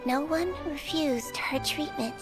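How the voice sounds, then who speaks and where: A woman voicing a small, gentle, childlike female healer creature in a video game, calm and matter-of-fact. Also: sits high, slow. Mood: gentle storytelling. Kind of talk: video game voice acting, storytelling. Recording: music under the voice. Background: game music.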